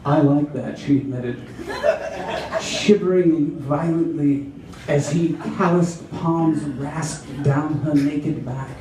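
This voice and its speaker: A man giving a theatrical, drawn-out dramatic reading of romance fiction to a live audience. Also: a little high, crowd noise. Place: roomy venue with a live audience. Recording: echoey room from far off, audience noise.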